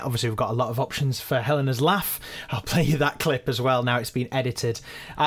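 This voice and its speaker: A man giving an informal behind-the-scenes explanation as a podcast co-creator and editor. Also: mid-range voice.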